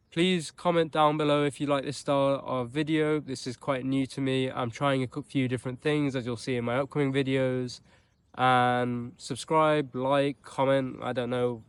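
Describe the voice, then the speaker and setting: A man's voice: medium pitch, smooth. A young man with a Birmingham accent, chatting to camera as a vlogger, upbeat and loose, with frequent restarts and asides. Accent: Birmingham English. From England. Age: young adult. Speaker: vlogger and startup founder. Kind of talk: casual vlog talk to camera. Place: outdoors in a mild city.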